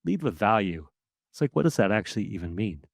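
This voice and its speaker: An outgoing man with a fairly low, powerful voice that projects, chatting as a podcast guest. He is animated and laughs often, and the sound is close and dry with no room echo. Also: fast, creaky. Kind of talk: casual interview conversation.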